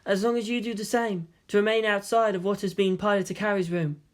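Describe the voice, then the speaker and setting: A woman voicing a quiet, eerily detached teenage girl who speaks with stiff, formal politeness in a story reading. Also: mid-range voice, fairly even intonation, fairly quick, pitched above the performer's own voice, close to an everyday voice.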